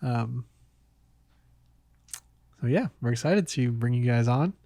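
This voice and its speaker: A man's voice: medium pitch, expressive, takes it slowly, dark tone, no echo. Casual male podcast host, dry close sound.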